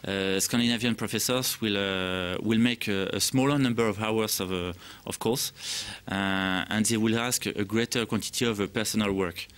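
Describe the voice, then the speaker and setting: A man with a French accent giving interview answers as a program director in English that is not his first language, halting as he searches for words. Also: lowish voice, steady tone, fairly bright tone, clean tone.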